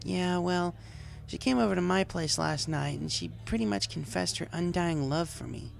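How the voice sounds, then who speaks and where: A man's voice: sits high, normal speed, vocal fry, pitched above the performer's own voice. A man voicing a brash young female pony in a story, casual and grumbling, with a scratchy voice. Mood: exasperated.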